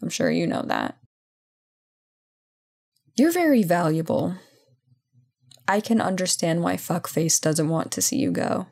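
A woman acts out a female CEO and reformed bully in an intimate audio roleplay. Her voice sits a little low, with plenty of vocal fry and a very slow, pause-filled delivery. She sounds self-assured yet guilt-ridden, conversational and hesitant. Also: big pitch swings, darkish tone, exaggerated voice. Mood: candid, coaxing. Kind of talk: intimate ASMR roleplay acting.

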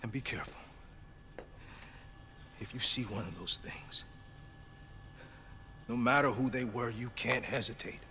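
A man's voice: mid-range voice, a little slow, gravelly, long pauses, pushed and bright, slight background noise. A man acting a wounded, protective, stoic middle-aged American police officer in a video game, grave and earnest. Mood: grave, urgent.

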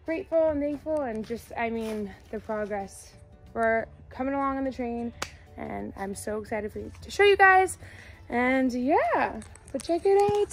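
Excited young woman vlogging outdoors. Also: on the high side, noisy background. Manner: excited, gushing, chatty. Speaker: vlogger showing her horse property. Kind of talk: casual vlog chat to camera. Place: outdoors on a farm, under a barn roof and in a pasture. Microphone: phone camera held at arm's length.

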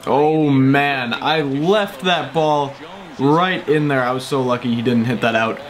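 A man's voice: mid-range voice, a little slow. A young man, a little sick, chatting casually with lots of fillers while playing a baseball video game at home. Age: young adult. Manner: chatty, rambling, upbeat with frequent fillers. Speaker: gaming YouTuber. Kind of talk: casual gameplay commentary. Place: small room at home, indoors.

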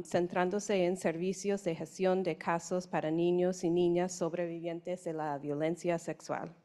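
A woman in her forties, a non-native speaker, introducing panelists at a conference with a handheld mic, halting and hesitant. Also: lowish voice, dark tone. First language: English. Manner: hesitant, halting, slow with restarts. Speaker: session moderator. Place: conference room on stage.

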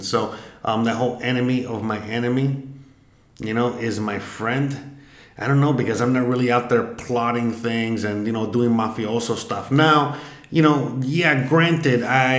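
Guy in his 30s rambling thru a quiz, lots of you-know-what-i-mean, roomy echo on a webcam mic. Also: mid-range voice. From Miami, Florida, US. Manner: rambling, many fillers and restarts. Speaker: vlogger. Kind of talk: casual commentary while taking an online quiz. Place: home room, indoors. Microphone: webcam at desk. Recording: echoey room.

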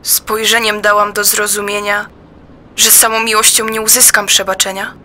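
A woman giving a dramatic poetry recitation in a plaintive, reproachful and deliberate manner. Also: mid-range voice, completely flat delivery, sharp tone, slight background noise. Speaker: performer in an audio play. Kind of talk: dramatic recitation of a personal poem.

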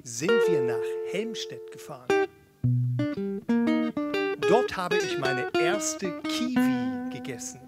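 A man's voice: sits high, lively intonation, slow, pauses often. A middle-aged man from East Germany performing spoken word on stage at a close microphone. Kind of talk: stage talk and spoken-word poetry over guitar. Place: small theatre hall with red seats, indoors. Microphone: stand microphone with pop filter, close. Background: electric guitar playing.

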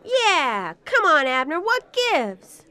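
A woman's voice: high voice, huge pitch swings, slow, sharp tone, full character voice. An actress playing a dim club woman in a comic radio drama, drawling and parroting what others say. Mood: eager agreeing. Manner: drawling, echoing others' words. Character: dim, slow-witted club woman who parrots her companion.

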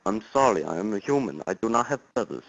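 A man's voice: fairly low pitch, expressive, fast talker, vocal fry, a bit bright, dull audio, exaggerated voice. A man putting on a mock Russian accent to play a formal, easily offended immigrant calling a show. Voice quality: stiff, overly formal phrasing. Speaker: caller.

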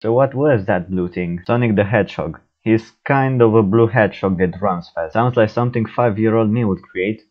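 A man's voice: fairly low pitch, normal speed, few pauses, dull audio, natural voice. A man playing earnest, no-nonsense police officers in an amateur stop-motion film, speaking in a plain, matter-of-fact way.

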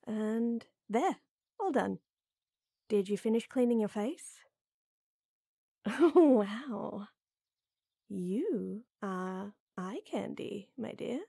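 A woman playing a tall, strong lady knight who flirts and teases softly and coyly in a roleplay audio drama. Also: mid-range voice, lively intonation, very slow, creaky, long pauses, breathy edge, no room sound, studio quality, heavily acted. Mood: caring, reassuring. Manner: teasing, coy, soft and intimate with chuckles.

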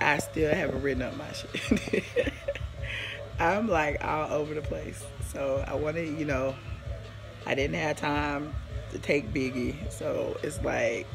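A hoarse woman in her forties, chatting casually on a live stream from her living room, rambling and greeting viewers by name, with a phone held at arm's length. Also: sits low. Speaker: livestream host. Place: living room at home, indoors.

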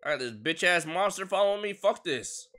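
A man's voice: high voice, expressive, brisk, bright, forceful tone. A young man streaming a game, crude and joking, with mumbled asides. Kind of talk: casual gaming commentary.

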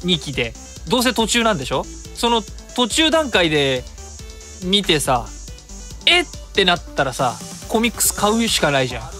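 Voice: mid-range voice, very animated, normal speed, pushed and bright, faint music behind. A radio host chatting casually alone, mixing polite speech with colloquial asides. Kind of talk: casual solo radio talk.

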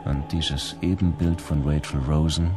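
An actor playing a grim, brooding bounty hunter in a monologue from a German radio drama. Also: male voice, rumbling low, level tone, a little slow. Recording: monologue over loud music.

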